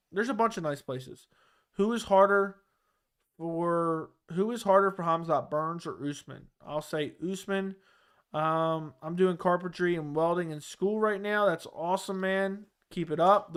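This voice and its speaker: Smooth-voiced Baltimore man chatting casually. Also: on the high side, fairly bright tone. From Baltimore area. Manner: loose, rambling, with fillers and restarts. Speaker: host of a live chat show. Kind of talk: casual live chat reading viewer comments.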